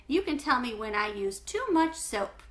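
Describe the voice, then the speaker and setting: A woman's voice: on the high side, sing-song, moderate pace. A woman reading a storybook as a big, friendly grown man who is reassuring, teasing and upbeat.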